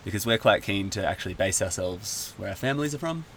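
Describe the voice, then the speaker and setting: A man from Australia, a guest in a casual chat, talking in a relaxed, rambling way. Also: low-pitched, quick pace, gravelly, slight background noise.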